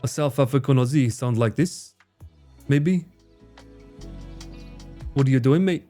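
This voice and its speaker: A man's voice: mid-range voice, measured pace, dark tone, soft background music. A man streaming live, chatting casually with viewers in a joking, theatrical way and swearing freely. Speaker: streamer and cameraman.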